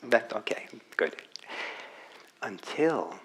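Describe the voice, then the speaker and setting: A lower-voiced man giving a meditation talk, unhurried and reflective. He speaks haltingly, often breaking off and restarting his phrases as he thinks aloud. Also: very animated, a bit bright, low-quality recording. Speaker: meditation teacher. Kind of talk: dharma talk. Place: meditation hall.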